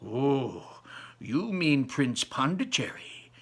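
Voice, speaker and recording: A man voicing an excitable old grandfather, a storyteller full of wonder who savors his words with emphasis. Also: medium pitch, breathy edge, voice pushed up, heavily acted. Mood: savoring. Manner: emphatic, savoring slow delivery.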